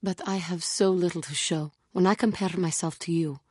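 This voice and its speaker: Brooding alien jedi warrior woman for a video game, lowish raspy voice, very formal and remorseful, dry studio sound. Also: fairly even intonation, a little mellow, no room sound. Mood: ashamed, confiding. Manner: formal, solemn, measured speech without contractions. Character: brooding, remorseful female Cathar alien Jedi warrior, earnest and self-doubting. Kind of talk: video game character acting.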